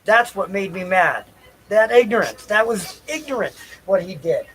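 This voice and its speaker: A man with a somewhat high-pitched voice talks off the cuff as a livestream host. He rambles loosely, half to himself, and circles back over his own phrases. Noticeable noise runs behind him throughout. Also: animated, normal speed, bright, forceful tone, rough recording. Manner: repeats phrases for emphasis. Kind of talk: rambling unscripted livestream talk.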